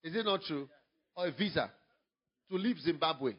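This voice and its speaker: A man with a Ghanaian English accent, a pastor preaching a sermon, moving from quiet storytelling to loud, emphatic preaching. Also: a little high, lively intonation, moderate pace, slightly rough, highs cut off.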